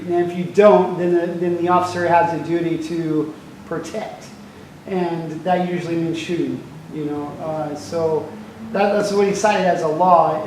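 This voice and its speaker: A man's voice: fairly high pitch, darkish tone. A man talking casually in a group discussion. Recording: echoey hall, faint noise.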